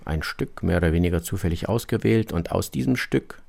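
A man presenting a scripted radio introduction in a measured, explanatory way. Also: low-pitched, lively intonation, gravelly, darkish tone.

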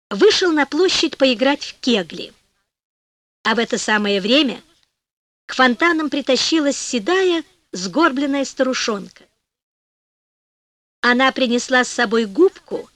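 A woman narrating a fairy tale audiobook with expressive, deliberate phrasing. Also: a little high, no room sound.

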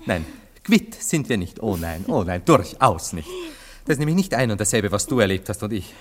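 An actor playing a vain, jealous gentleman lover in a German radio play, excitable, mocking and prone to sputtering. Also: on the low side, normal speed. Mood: haughty, vengeful.

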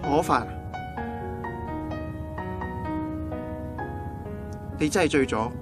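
A man's voice: on the high side, quick pace, lightly acted. An actor playing a goofy, lovelorn 27-year-old male friend with a Hong Kong Cantonese accent, joking and comforting in a radio drama. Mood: tender, joking. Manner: joking, animated. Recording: music behind the dialogue.

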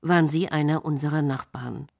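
Husky, low-voiced halting woman. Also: fairly even intonation, darkish tone. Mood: hushed, wistful. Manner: halting, searching memory, repeating phrases. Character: halting woman recalling experiments on children. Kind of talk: radio drama monologue.

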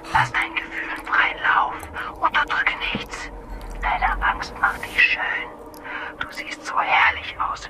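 An actor playing a manipulative, sadistic male stalker in a radio crime drama, speaking in a breathy, coaxing, controlling whisper. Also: lightly acted, low-quality recording. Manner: coaxing, controlling, unsettlingly tender.

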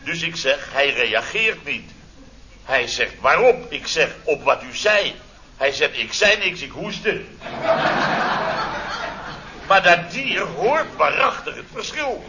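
A man's voice: fairly high pitch, very animated, takes it slowly, pauses often, heavily acted. An actor playing a blustering, sarcastic middle-aged contractor boss, telling his mishaps theatrically in a radio comedy serial. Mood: amused, exclamatory. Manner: theatrical, exclamatory, sarcastic storytelling. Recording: dull, noisy old radio recording.

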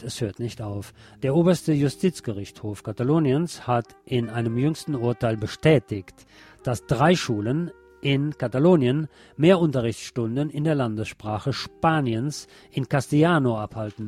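A man's voice: medium pitch, a little slow, a little mellow. A man presenting scripted local radio news as a narrator. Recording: music playing behind the voice. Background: background music.

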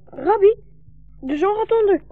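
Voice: very high-pitched, lively intonation, measured pace, dry recording, faint music behind, full character voice. A performer playing a young orphaned boy, earnest, trusting and respectful, in a 1960s radio drama. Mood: anxious, obedient. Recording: dull, narrow 1960s radio recording.

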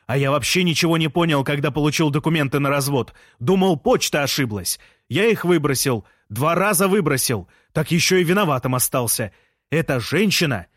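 A man voicing an aggrieved, divorced shopkeeper in an audiobook, complaining loudly about his ex-wife. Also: fairly high pitch, no echo, studio quality, pitched above the performer's own voice.

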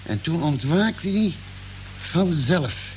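A noisy old radio drama recording carries a calm, caring ship's doctor on a space expedition. His voice sits a little high for a man, and he sets things out in a measured, deliberate way. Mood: thoughtful, explaining. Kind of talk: vintage Dutch radio drama acting.

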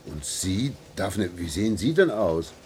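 An actor playing a weary, stubborn husband in a German science-fiction radio drama, emphatic and irritable. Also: male voice, sits low, sing-song, a little slow, darkish tone. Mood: baffled, indignant. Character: weary, resentful husband and office worker, stubbornly refusing. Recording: noisy vintage radio play recording.

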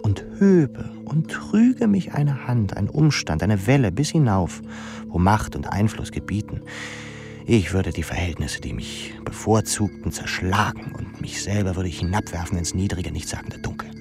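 A man playing a brooding, ironic young pupil in an introspective radio drama monologue, musing and halting. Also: fairly low pitch, animated, vocal fry, music in the background. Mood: intense, defiant. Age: young adult.

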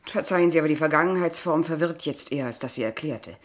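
An actress in a German radio play as a polite, probing kindergarten teacher, speaking in a measured, formal, pointed way. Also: female voice, sits low, natural voice. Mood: pressing. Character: polite, insistent, probing kindergarten teacher, a young unmarried woman.

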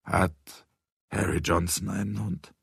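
A man's voice: deep voice, fairly even intonation, takes it slowly, creaky, husky, dry recording, studio quality, full character voice. A man voicing a baffled, courteous police superintendent in an audiobook, hesitant, restrained and questioning. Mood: puzzled. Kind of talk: audiobook character dialogue.

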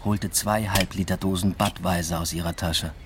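A male actor plays a young man, a doctoral student who reflects on his life and avoids committing. His voice is low and his delivery understated, as in a literary radio drama. Sound design sits around the voice. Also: fairly even intonation, normal speed, noisy background, lightly acted. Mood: reflective narration. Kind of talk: radio drama, first-person literary narration and dialogue.

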